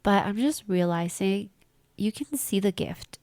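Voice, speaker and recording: A woman's voice: mid-range voice, expressive, a little slow, darkish tone. Congested woman chatting on a home podcast. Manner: rambling, chatty, self-interrupting. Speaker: podcast host. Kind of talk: casual diary-style podcast chat. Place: small room at home by a window.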